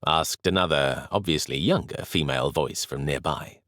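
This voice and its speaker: A man narrating a period novel as an audiobook in a measured, expressive storytelling manner. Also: rumbling low, dry recording, studio quality.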